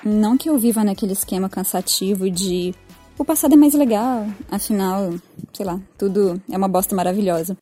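Mellow, self-deprecating Brazilian woman. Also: mid-range voice, a little fast, soft background music. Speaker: podcast commentator. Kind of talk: informal spoken reflection.

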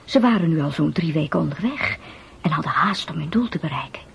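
A woman narrating a fairy-tale radio play in an expressive, dramatic storytelling manner. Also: sits low, fairly quick, creaky, slight background noise.

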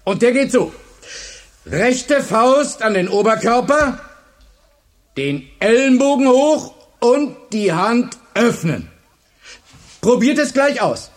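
An actor playing a charismatic, authoritative high school teacher who instructs and rallies a class in a radio drama. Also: male voice, big pitch swings, slow, long pauses, exaggerated voice. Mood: drilling, commanding. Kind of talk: German radio drama acting.

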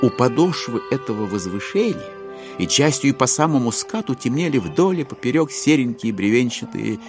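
A man narrating a classic literary audio drama with expressive, theatrical phrasing. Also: medium pitch. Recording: music behind the narration.

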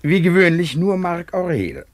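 An actor playing an unflappable, pompous imperial valet in a satirical radio play, formal and officious. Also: male voice, high-pitched, sing-song, moderate pace. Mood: servile, formal.

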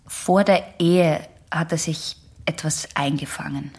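Casting: defiant girl of eighteen, low husky voice, frayed with creak, guarded and terse, radio drama. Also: steady tone, slow, a little mellow, heavily acted. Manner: terse, sullen, skeptical. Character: guarded, defiant eighteen-year-old female patient. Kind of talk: German radio drama dialogue.

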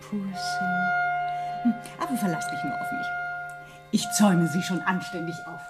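Genteel, snobbish aunt, mid-pitched and highly expressive, motherly but affected, radio play with music and clinking tableware. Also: unhurried. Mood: condescending. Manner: affected, drops French and English phrases. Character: worldly, genteel aunt, motherly yet snobbish society lady. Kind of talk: radio drama dialogue.

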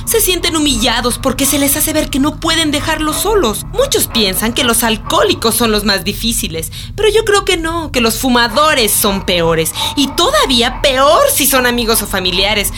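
An actress playing a preachy, self-assured crusader against vices in a radio drama. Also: female voice, high voice, very animated, moderate pace, few pauses, a bit bright, faint music behind. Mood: knowing, righteous. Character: pious moralizing woman, zealous crusader against vices.

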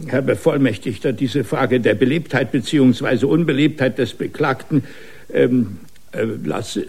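An actor playing a flustered, polite and indecisive judge with a gravelly, husky voice, hesitating and stammering through a radio drama. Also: male voice, mid-range voice, darkish tone. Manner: hesitant, stammering, repeating words. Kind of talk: German radio drama acting.

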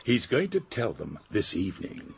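An actor playing an urbane, discreet English gentleman in a radio drama, measured and dryly spoken. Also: lowish voice, wildly swooping pitch, creaky, husky, exaggerated voice. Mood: suave, dry. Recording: faint background noise.

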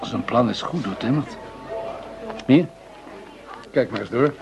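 An actor playing a composed, pragmatic federal police chief in a radio play, with brief, matter-of-fact delivery. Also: male voice, medium pitch, expressive, slow, gravelly. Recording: dull, lo-fi radio drama recording.

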